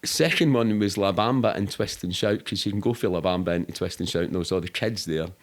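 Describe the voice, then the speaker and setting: A middle-aged man with a Scottish accent speaks in a fairly low voice. He tells stories as a guest in a casual interview, rambling along in everyday colloquial speech with some swearing. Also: a little fast. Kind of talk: casual anecdotal storytelling.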